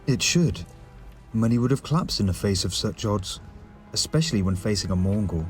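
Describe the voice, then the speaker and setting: A man's voice: fairly low pitch, animated, moderate pace, a little mellow, faint music behind, close to an everyday voice. A man playing a solemn, courteous warrior priest in a fantasy audio drama, using formal, archaic phrasing. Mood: courteous, approving.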